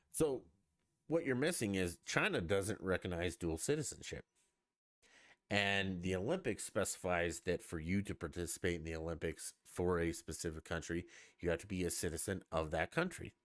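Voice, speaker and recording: Blunt, low-voiced man ranting on a podcast. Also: a bit bright, dry recording, studio quality. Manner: blunt, profane and emphatic. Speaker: podcast host. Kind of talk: opinionated solo podcast rant.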